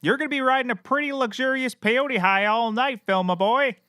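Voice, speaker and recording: An actor playing a deluded, cheerfully oblivious private investigator who talks excitably and boastfully in a scripted comedy. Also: male voice, very high-pitched, normal speed, clean tone, no room sound, studio quality. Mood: giddy. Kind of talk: scripted comedy audio acting.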